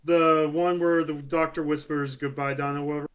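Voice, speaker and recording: A man's voice: on the high side, little pitch movement, normal speed. A man co-hosting a casual review chat about an audio drama.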